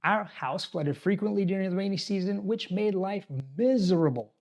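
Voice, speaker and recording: Man, fairly high-pitched voice, scripted advisory talk, addressing viewers directly. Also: normal speed, vocal fry, a little mellow. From tropical island. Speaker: expat channel host. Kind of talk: scripted talking-head explainer.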